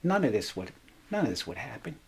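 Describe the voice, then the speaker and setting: An actress playing a shy, modest chief stagehand in a radio drama, talking haltingly and without show. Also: female voice, a bass voice, very animated, creaky, darkish tone, breathy edge, full character voice. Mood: shy, content. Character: shy, modest, kindly female chief stagehand after work. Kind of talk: naturalistic radio drama dialogue.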